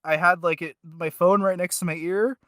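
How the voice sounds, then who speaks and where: Guy doing podcast banter, higher bright voice, pretty dry sound, self deprecating. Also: steady tone, moderate pace, no echo. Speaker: podcast co-host. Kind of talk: casual podcast chat.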